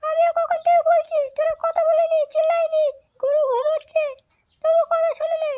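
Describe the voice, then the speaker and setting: A little cartoon underling, squeaking at an extremely high pitch. The complaints are insistent and almost monotone. Clear noise runs behind the voice. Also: a little fast, dull audio. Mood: nagging. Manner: nagging, insistent. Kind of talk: comedy cartoon dubbing. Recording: clearly noisy recording.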